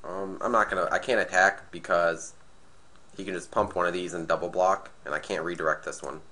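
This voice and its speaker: A man with a slightly raspy, nasal voice from being sick, casually commentating a card game match and thinking aloud as he plays. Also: sits low, fairly even intonation, brisk, pushed and bright. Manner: thinking aloud, hesitant restarts. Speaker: gameplay commentator.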